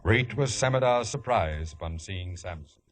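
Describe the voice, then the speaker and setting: A solemn biblical storyteller with a fairly low voice. He narrates in measured scriptural phrases for 1950s radio. Music runs clearly beneath him on a vintage broadcast recording. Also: expressive.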